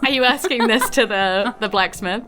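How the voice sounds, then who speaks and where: A woman's voice: a little high, moderate pace. A woman with a New Zealand accent narrating a tabletop game as game master, casual and hesitant with trailing asides.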